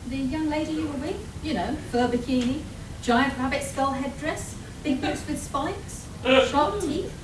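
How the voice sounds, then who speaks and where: A woman's voice: high voice, measured pace. A woman playing a wary, practical warrior princess in a live radio play, dry and matter-of-fact. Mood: descriptive. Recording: echoey, noisy hall recorded from far off.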